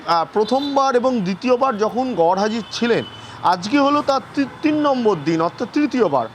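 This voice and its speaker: A man's voice: high-pitched, slight background noise. A man with a West Bengal accent giving an unscripted live field report in an emphatic, repetitive manner.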